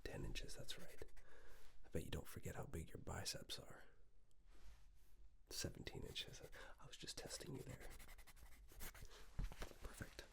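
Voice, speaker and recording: A man doing a soft-spoken ASMR tailor roleplay, breathy and whispered, with an unhurried, reassuring, slightly hesitant way of talking. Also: sing-song. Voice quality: soft, breathy whisper mixed with low creaky murmur. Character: attentive male tailor, gently flattering and chatty. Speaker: ASMR performer playing a tailor.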